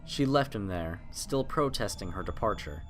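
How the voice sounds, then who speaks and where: A man's voice: fairly low pitch, animated, moderate pace. A man narrating a horror story in a dramatic, scripted style. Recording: prominent music under the narration. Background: music.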